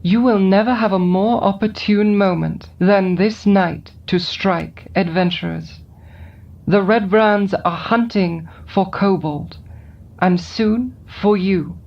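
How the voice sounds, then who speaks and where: A woman voicing a composed, scheming adult woman in an audio drama, calm and coolly persuasive. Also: medium pitch, slow pace. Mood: measured. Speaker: voice actor. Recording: dull lo-fi recording with faint music behind.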